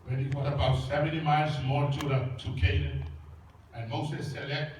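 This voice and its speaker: Samoan-accented pastor preaching in echoey church. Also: male voice, on the high side, level tone, moderate pace, a little mellow, slight background noise. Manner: emphatic preaching, simple repeated phrases. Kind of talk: sermon. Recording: echoey church hall, voice from far off.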